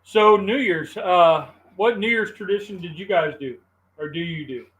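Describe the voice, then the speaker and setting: A man's voice: sits high, normal speed, fairly bright tone, low-quality recording. A man, hosting a live cooking stream in easygoing chat and talking to his viewers. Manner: easygoing and chatty, talks to the chat.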